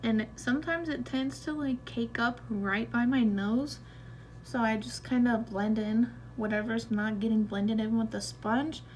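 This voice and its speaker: A young woman walking through a makeup tutorial in a relaxed, chatty way, with loose fillers as she goes. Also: a little high, a little hiss or hum. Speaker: beauty vlogger. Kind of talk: casual makeup tutorial narration.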